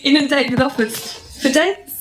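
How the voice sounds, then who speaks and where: A woman's voice: high-pitched, normal speed, faint music behind, low-quality recording. A woman in her late thirties chatting casually as a podcast guest, dropping in short, wry remarks. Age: late 30s. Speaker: podcast guest, reality TV contestant. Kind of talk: casual chat.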